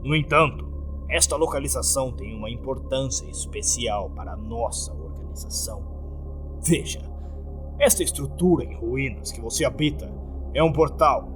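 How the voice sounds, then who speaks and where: A man voicing a smug, menacing male cult leader in a horror story, with a slow, pompous, deliberate delivery. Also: mid-range voice.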